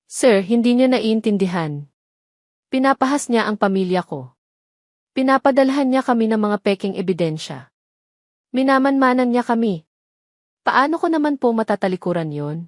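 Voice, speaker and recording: A woman's voice: on the high side, lively intonation, moderate pace, pauses often, dry recording, clean studio sound, close to an everyday voice. A woman voicing a principled, defiant male police major with a firm, indignant manner in an audio drama.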